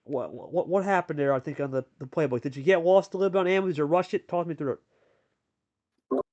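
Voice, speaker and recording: A male commentator with a voice pitched a bit above average. He hosts and calls a card game like live sport, using colloquial, buddy-to-buddy phrasing. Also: a little fast, a little mellow, muffled recording. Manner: colloquial, addresses players as buddy. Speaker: play-by-play commentator and host.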